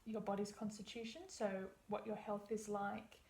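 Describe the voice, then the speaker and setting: Young woman, slightly gravelly, calm practitioner walking a client through an eye exam; echoey room with faint hum. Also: mid-range voice, normal speed. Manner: explains step by step, reassuring. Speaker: iridology practitioner. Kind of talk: practice consultation.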